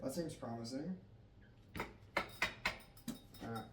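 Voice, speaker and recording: A man's voice: mid-range voice, slow pace, noisy background. A young man vlogging his workouts, chatty and self-mocking, talking straight to viewers. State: congested, clearing throat. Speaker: fitness vlogger. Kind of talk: casual vlog narration.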